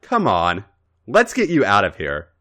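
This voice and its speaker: A man's voice: fairly low pitch, huge pitch swings, measured pace, pushed and bright, heavily acted. A man playing a smirking, protective young man who chides and grumbles in a story reading. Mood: calm, chiding. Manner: chiding, grumbling.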